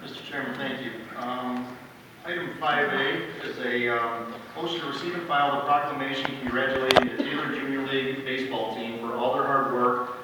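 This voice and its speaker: Mayor, man, procedural and monotone, reverberant chamber with clear background noise. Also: medium pitch, moderate pace. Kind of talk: procedural agenda announcement. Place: large indoor council chamber. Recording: echoey hall from far off, noisy.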